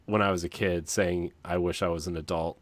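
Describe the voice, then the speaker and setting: A man with a low voice chatting as a podcast co-host. He speaks haltingly and confessionally, trailing off mid-thought. The recording is dry, right up on the mic. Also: steady tone, dry recording. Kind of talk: casual confessional chat.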